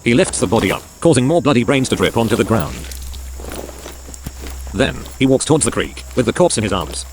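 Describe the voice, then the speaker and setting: A man's voice: mid-range voice, animated, a little fast, long pauses, slight background noise, low-quality recording. A man reading scripted narration of dramatic action scenes as a storyteller.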